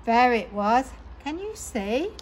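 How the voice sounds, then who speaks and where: A woman reading picture books aloud to children in an animated, dramatic way. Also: fairly high pitch, takes it slowly, slight background noise, low-quality recording. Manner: animated, dramatic, with exclamations and sound words. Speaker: children's storyteller at a library story time. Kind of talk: expressive picture-book read-aloud.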